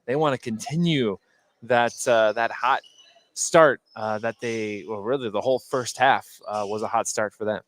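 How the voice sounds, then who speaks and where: A man giving live, unscripted basketball analysis in short, halting phrases. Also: medium pitch, fairly bright tone. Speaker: sports color commentator.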